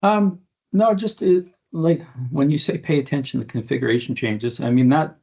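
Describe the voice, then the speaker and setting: A man's voice: on the high side, creaky, a little mellow, husky. Man explaining technical matters, slightly dull sound. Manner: halting, informal, explains with examples. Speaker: expert guest on an aviation podcast.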